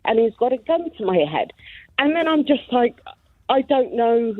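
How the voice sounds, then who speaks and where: A woman's voice: on the high side, very animated, normal speed, no echo. A woman in her thirties from Somalia calls in to a radio show and talks earnestly, piling up detail. Her voice comes through a tinny, narrow phone line. It sounds dry, with no room around it. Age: 30s. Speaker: caller. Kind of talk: phone-in call.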